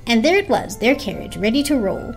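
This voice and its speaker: A woman's voice: a little high, sing-song, fairly quick, creaky. A woman narrating a children's story as a dramatic storybook reading. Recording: music behind the voice. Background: music.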